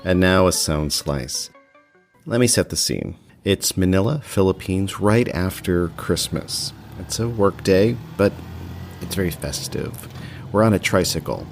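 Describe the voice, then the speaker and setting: A man hosting a podcast, narrating in a relaxed, conversational and slightly wry storytelling style. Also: low-pitched, unhurried. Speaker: podcast host and producer. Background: music.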